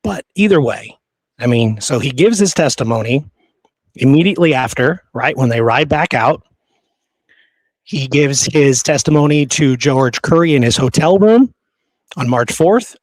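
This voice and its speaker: A man's voice: medium pitch, moderate pace, no room sound, poor audio. A man talking through history in an informal, conversational podcast style, with colloquial phrasing. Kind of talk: casual conversational history discussion.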